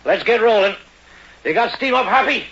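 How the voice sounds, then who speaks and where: Bright, husky pushy crew boss. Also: male voice, high-pitched, expressive, moderate pace, heavily acted. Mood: impatient, bossy. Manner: blunt, pushy, colloquial. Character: brisk, bossy oil-drilling crew boss. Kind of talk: 1940s radio drama acting. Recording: muffled old radio broadcast.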